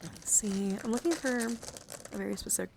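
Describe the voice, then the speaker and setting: A woman's voice: medium pitch, creaky. Rambling woman in noisy ASMR stream. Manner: rambling, halting, thinking aloud while searching. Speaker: livestreamer showing a dice collection. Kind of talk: casual ASMR chat to viewers.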